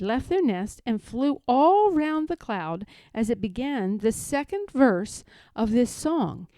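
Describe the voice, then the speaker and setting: A woman's voice: fairly high pitch, dark tone, no echo. A woman reading a children's story aloud as a scripted narration. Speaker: storybook reader.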